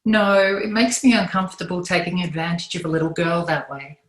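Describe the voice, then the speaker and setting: A woman reads a sitcom mother and wife. Her voice sits a little low. She is caring and protective, with an uneasy conscience. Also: fairly even intonation, a little fast, lightly acted.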